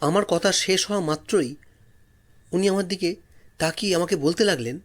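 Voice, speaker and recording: A man's voice: high voice, normal speed. A man narrating a story dramatically in the first person, as a storyteller.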